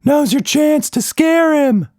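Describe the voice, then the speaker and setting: Squeaky bellowing showman monster. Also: animated, slow, darkish tone, no room sound, studio quality, heavily acted. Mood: urgent, bossy. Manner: theatrical, bellowing, sing-song. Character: boisterous magical blob monster, bellowing showman.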